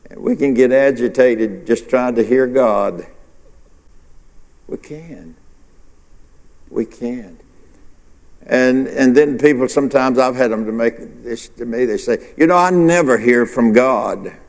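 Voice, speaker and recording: Slow preacher in an echoing hall. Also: male voice, mid-range voice, a little mellow. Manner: preacherly call-and-response, rhetorical questions. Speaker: pastor. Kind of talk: sermon.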